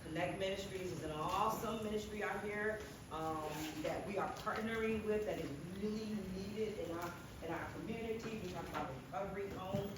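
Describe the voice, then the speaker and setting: An older woman talks loosely and playfully from a podium, praising people and cracking jokes as she goes. Her voice bounces around a big echoey hall, heard from a distance over loud background noise. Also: medium pitch, brisk, few pauses. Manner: chatty, warm-hearted, joking, laughs often. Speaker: organization leader introducing the panel. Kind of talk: casual conference introduction. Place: large conference room.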